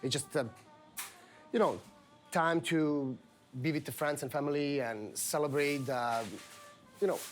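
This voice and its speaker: A man's voice: fairly high pitch, steady tone, measured pace, pauses often, music in the background. Man guiding a food tour. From Serbia, Belgrade. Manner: friendly, hesitant with fillers. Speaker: guest, local guide. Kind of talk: casual chat.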